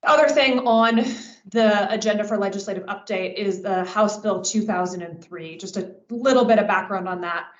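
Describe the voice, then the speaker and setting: A woman's voice: mid-range voice, normal speed. A woman speaking as a government relations manager, giving an explanatory update on a work conference call in informal professional language. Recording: echoey, dull conference call audio.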